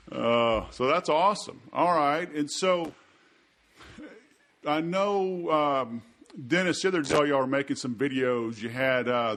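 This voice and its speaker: A man's voice: mid-range voice, fairly bright tone. A man hosts a podcast with a drawl. He talks in a loose, chatty way, piling up asides and little jokes between his questions. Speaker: podcast host. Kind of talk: casual interview chat.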